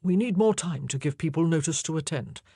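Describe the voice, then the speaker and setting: A grave, gentle jailer speaking in slow, steady reassurance, voiced by a woman reader. The voice sits low and mellow, with a slightly husky edge. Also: no echo, studio quality, full character voice. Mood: regretful. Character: gentle middle-aged male jailer, grave, kindly.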